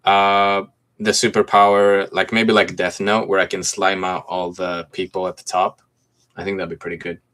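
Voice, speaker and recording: Low-voiced man, from Greece, slight American accent, twenties, laid-back livestream chat, phone mic at home. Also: little pitch movement, moderate pace, a bit bright. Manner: laid-back, chatty, reads comments aloud and answers them. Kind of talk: casual livestream chat answering viewer comments. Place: room at home with mint green walls.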